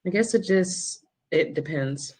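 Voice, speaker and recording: A woman's voice: on the low side, lively intonation, unhurried, a little mellow, slightly rough. A woman playing a caring, reassuring nurse on evening rounds in a dramatic table read.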